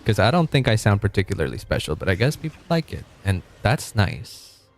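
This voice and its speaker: A man from Argentina streaming casually, rambling, correcting himself and chuckling as he reads chat, with a deep voice. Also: big pitch swings, a little fast, faint music behind.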